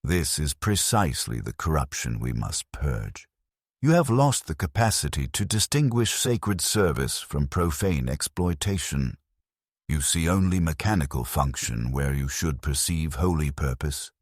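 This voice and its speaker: A man voicing a very deep, merciless inquisitor priest. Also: sing-song, unhurried, dry recording, studio quality, full character voice. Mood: stern, condemning. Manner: stern, pronouncing, formal zealot. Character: cold accusing tech priest interrogator in bronze mask.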